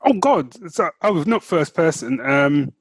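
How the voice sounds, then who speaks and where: Lively, higher-pitched man, slightly raspy. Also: unhurried, dry recording. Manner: curious, reacting and asking questions. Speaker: podcast co-host. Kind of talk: casual chat.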